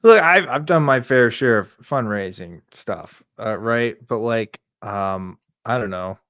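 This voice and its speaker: A man's voice: medium pitch, lively intonation, a little slow, a bit bright, no room sound, dull audio. A man co-hosting a casual reaction show, giving sardonic commentary and slipping into mock quotes. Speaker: show co-host.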